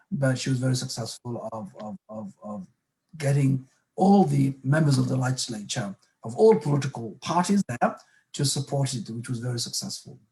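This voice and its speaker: A man's voice: mid-range voice, a little mellow, rough recording. A man hosting a panel on a video call, hesitant and full of fillers. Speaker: host.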